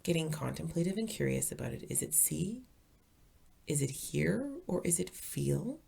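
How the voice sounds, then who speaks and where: A woman leading a guided meditation as a teacher, calm, soothing and deliberate, with a soft, breathy voice. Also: low-pitched, slight background noise.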